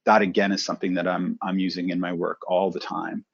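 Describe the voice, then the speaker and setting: A middle-aged man from Canada, a presenter coach telling his career story in a relaxed, thoughtful interview. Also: deep voice, fairly quick, gravelly, husky, dry recording, highs cut off.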